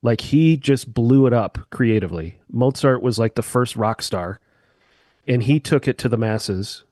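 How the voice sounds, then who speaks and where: A man's voice: mid-range voice, measured pace, dark tone, no echo. A man, a musician guest on a chat podcast, explaining eagerly with sweeping comparisons into a close mic.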